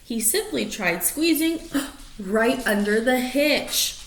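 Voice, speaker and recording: A woman reads a rhyming picture book to young children with a very lively, swooping delivery. She takes her time, drawing out key words and pausing for asides and questions. The room adds noticeable echo to her voice. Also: a little high. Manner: animated storyteller, stretching key words, asking prediction questions. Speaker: storybook reader for young children. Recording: roomy echo, faint background noise.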